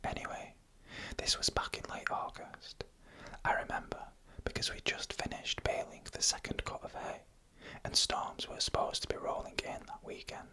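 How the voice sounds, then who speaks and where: Whispered voice, bright and breathy, ASMR horror narration, scripted, hushed short phrases, pauses.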